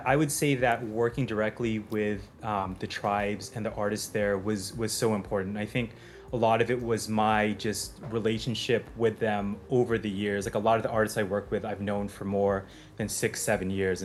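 A man's voice: lowish voice, little pitch movement, normal speed, a little hiss or hum. A man from the United States who composes for film, answering interview questions in a thoughtful way with plenty of fillers and restarts.

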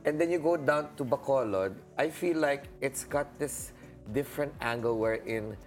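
Animated male co-host. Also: mid-range voice, moderate pace, faint music behind. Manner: animated, searching for words. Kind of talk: casual interview chat.

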